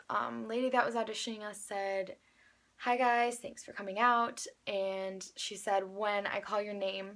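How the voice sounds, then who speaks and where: Woman vlogger, mid-pitched with pronounced fry. Conversational, informal, rambling storytelling delivery. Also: fairly bright tone. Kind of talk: casual vlog storytelling.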